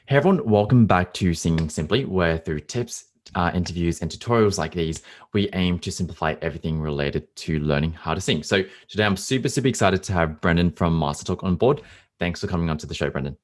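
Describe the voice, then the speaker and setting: Male podcast host with a very low voice; eager, emphatic interviewer. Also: brisk. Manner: enthusiastic, repeats words for emphasis.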